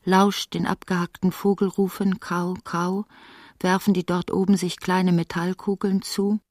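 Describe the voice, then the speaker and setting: A woman narrating a literary radio play in a restrained, measured way, with terse descriptive phrases. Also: fairly low pitch, flat delivery, a little mellow, no echo, clean studio sound, lightly acted.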